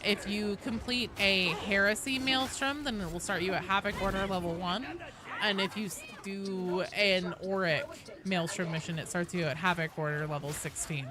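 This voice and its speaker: A woman hosting a game livestream, chatting casually with viewers in an animated, sweary, self-deprecating way. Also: medium pitch, moderate pace. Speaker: livestream host. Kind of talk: casual game-stream chat, answering viewer comments. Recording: clear background noise behind voice.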